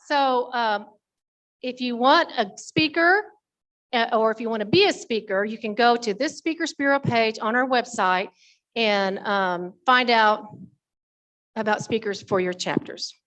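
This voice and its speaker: A woman's voice: fairly high pitch, a little slow, a bit bright. A woman presenting at a members meeting in an informal, conversational way, with folksy asides to the audience. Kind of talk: informal spoken committee report to an audience.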